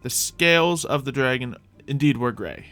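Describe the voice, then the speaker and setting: A man's voice: mid-range voice, animated, normal speed, pushed and bright. Man musing through game commentary over music. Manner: mock-archaic, formal fantasy phrasing. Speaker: host of a gaming series. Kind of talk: gaming commentary, thinking aloud in light roleplay.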